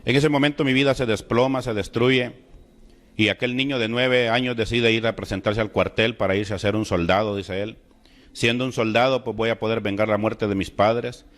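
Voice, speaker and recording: A middle-aged Salvadoran man speaks in a slightly low voice, unhurried and deliberate. He tells his personal testimony with solemn weight, his voice lifting as the story grows heavier. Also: fairly even intonation, a bit bright. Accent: Salvadoran Spanish. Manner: slow, deliberate, solemn storytelling with emotional rises.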